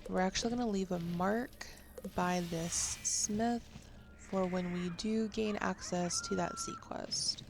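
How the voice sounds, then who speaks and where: A woman's voice: medium pitch, wildly swooping pitch, unhurried, background noise. A woman giving casual game walkthrough commentary as a gaming video creator. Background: game music.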